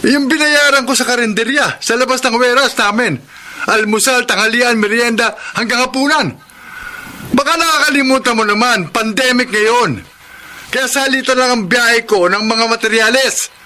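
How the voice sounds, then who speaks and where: An actor playing Simon, a tired working father and van driver who argues with his wife and then plots in hushed tones with a friend. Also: very high voice, normal speed, full character voice. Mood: defensive. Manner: tired then argumentative, later hushed and conspiratorial. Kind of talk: classic radio drama acting. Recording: noisy old radio drama recording.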